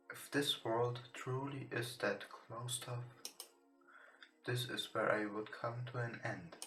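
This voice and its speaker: A man who is not a native English speaker, reading visual novel text aloud for a let's play, somewhat haltingly. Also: medium pitch, a bit bright. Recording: roomy echo with music behind.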